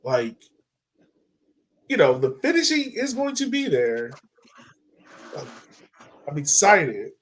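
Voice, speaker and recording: A man co-hosting a podcast, chatting casually about sport in slangy, animated talk. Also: high-pitched, takes it slowly, long pauses, a little hiss or hum. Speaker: podcast co-host.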